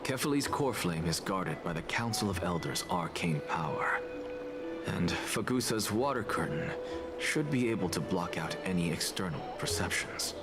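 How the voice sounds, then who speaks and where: An aloof scholar from a fantasy game in a low voice. He lectures with calm, analytical precision and self-assurance, with music clearly heard behind him. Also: moderate pace. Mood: measured. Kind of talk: voice acting in a fantasy video game.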